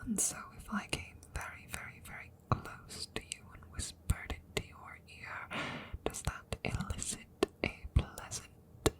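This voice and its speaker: A woman voicing a courteous, smitten female dragon in a hesitant, formal ASMR roleplay, whispering softly and breathily close to the microphone. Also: natural voice. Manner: hesitant, formal, old-fashioned phrasing with murmured repetitions.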